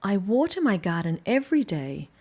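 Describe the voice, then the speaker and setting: A woman with an Australian accent voicing a friendly, proud young male storybook character, speaking slowly and deliberately for a children's read-aloud. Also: mid-range voice, very animated, darkish tone. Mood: proud, happy.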